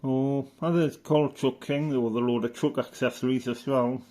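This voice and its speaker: A man's voice: mid-range voice, steady tone, moderate pace, dark tone. A man giving casual, rambling show-and-tell commentary as a hobbyist, matter-of-fact and fond of tag questions. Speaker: hobbyist presenter.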